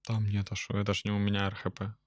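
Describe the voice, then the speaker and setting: A young man, a fitness coach, answering his wife's questions in short, calm replies, mixing Russian and Ukrainian. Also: lowish voice, racing, no room sound, studio quality. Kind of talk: casual interview answers.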